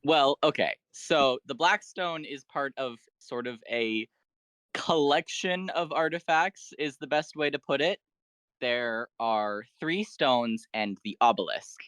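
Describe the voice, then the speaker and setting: A man's voice: mid-range voice, takes it slowly, a bit bright, no echo. A man talking casually as a podcast guest, explaining fan lore in an informal way.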